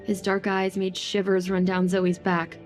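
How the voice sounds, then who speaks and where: A woman's voice: mid-range voice, completely flat delivery, measured pace, soft background music. A woman narrating a scripted romance audio drama.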